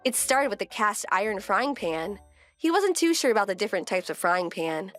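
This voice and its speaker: A woman's voice: medium pitch, very animated, moderate pace, soft background music, close to an everyday voice. A woman playing a composed, wry wife who narrates her domestic story in a scripted manga dub. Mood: calm.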